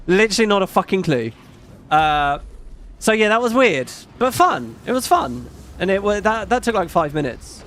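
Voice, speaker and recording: British streamer, middle-aged man, high-ish light voice, rambling chat, faint noise behind. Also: a bit bright. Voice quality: fairly high-pitched, not macho. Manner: rambling, chatty, swears casually. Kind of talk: casual live-stream chat.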